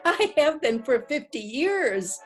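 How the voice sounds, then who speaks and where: A woman playing a modest, elderly widow, mild and gently reproachful, in a readers theatre play. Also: high-pitched, very animated, slow, soft background music, exaggerated voice.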